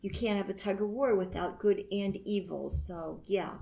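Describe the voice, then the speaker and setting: A middle-aged or older woman guest giving her impressions in a casual, reflective chat, with thoughtful, halting phrasing. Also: mid-range voice, gravelly, warm tone, rough recording.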